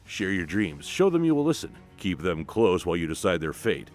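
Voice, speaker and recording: Man playing a hushed, wary old royal father. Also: sits low, very animated, moderate pace, soft background music, voice pushed down. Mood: cautioning. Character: wary elderly royal father, counselling his son.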